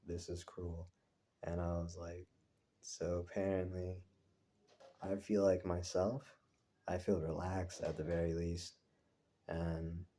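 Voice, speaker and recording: A man recording a casual personal vlog, talking in a rambling, introspective, halting way. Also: low-pitched, mellow, a little hiss or hum. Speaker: vlogger.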